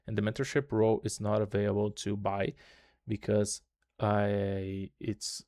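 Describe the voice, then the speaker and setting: A man with a low voice, Portuguese being his first language, guides viewers through a screen share in loose, repetitive English. His delivery stays flat and even. The sound is dry and close. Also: unhurried, no room sound. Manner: loose repetitive tutorial talk, constant "okay" and "right" tags. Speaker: trading channel host. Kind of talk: casual screen-share walkthrough.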